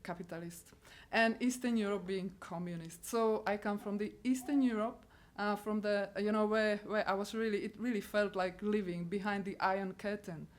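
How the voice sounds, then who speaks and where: A middle-aged woman with a Czech accent, a missionary, giving a personal testimony to an audience and often pausing to search for words. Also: mid-range voice, fairly even intonation. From Czech Republic. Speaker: missionary speaker.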